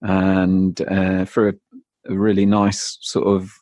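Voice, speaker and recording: A man speaking as a podcast guest, recounting personal experience in a reflective, halting way. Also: sits low, monotone, darkish tone, no echo, studio quality. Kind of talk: personal storytelling in interview.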